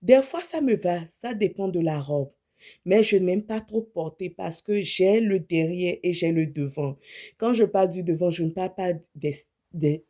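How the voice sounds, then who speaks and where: A woman's voice: fairly low pitch, dark tone. A woman in her thirties, an image consultant, speaking casually and frankly to camera about what to wear, often correcting herself mid-sentence. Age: 30s.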